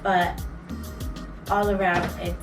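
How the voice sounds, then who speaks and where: A woman's voice: fairly low pitch, flat delivery, takes it slowly. A woman in her twenties from New York and Florida, co-hosting a casual Q&A vlog, chatty and rambling with lots of fillers. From New York and Florida, USA. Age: 20s. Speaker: vlog co-host. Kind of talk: casual Q&A chat. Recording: roomy, distant mic, music underneath.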